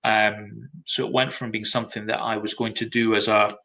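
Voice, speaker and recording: A middle-aged Scottish man, a brand consultant, talking in a webinar at a measured pace with frequent ums. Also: lowish voice, flat delivery, gravelly, sharp tone, low-quality recording. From Scotland. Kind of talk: webinar talk.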